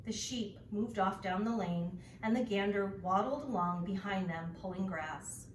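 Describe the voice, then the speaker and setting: A woman reads a children's story aloud in a deliberate, expressive teacher's style. Her voice sits at a middle pitch. It is recorded in an echoey room, a bit away from the mic, with faint noise. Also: distant mic. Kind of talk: storybook narration.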